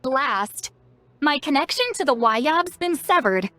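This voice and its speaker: High-voiced cocky young shaman. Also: lively intonation, slow, pushed and bright, exaggerated voice. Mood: frustrated, brisk. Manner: self-assured, brusque, explanatory. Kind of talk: animated video game voice acting.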